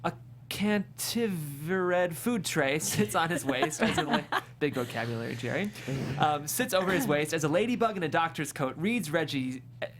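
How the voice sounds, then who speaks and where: Stage directions reader: mid-pitched, descriptive, amused, scripted comedy narration, slight room noise. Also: animated, normal speed. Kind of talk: scripted screenplay narration at a table read.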